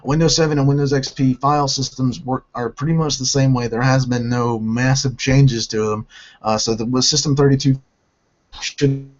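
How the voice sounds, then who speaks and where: A hoarse man from North Carolina hosting a gaming channel, answering viewer questions in a halting way and often restarting his sentences. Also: medium pitch, lo-fi sound. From North Carolina, United States.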